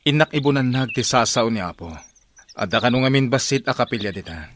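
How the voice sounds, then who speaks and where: A man's voice: medium pitch, expressive, a little fast, a bit bright, close to an everyday voice. A man with an Ilocano accent acting an earnest travelling lay preacher in a radio drama. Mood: earnest pleading. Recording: clearly noisy, animal sound effects.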